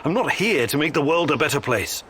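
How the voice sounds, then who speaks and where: An actor playing an earnest, determined male scientist in a video game, speaking in a serious, measured, explanatory manner. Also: on the high side, expressive, fairly bright tone, slight background noise. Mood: firm, weary. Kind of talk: video game character acting.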